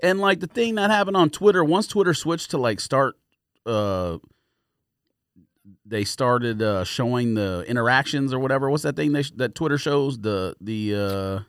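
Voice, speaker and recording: Blunt man with lively, swinging intonation. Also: medium pitch, normal speed, no echo, studio quality. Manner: blunt, slangy, sardonic. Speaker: podcast co-host. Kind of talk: casual opinionated podcast banter.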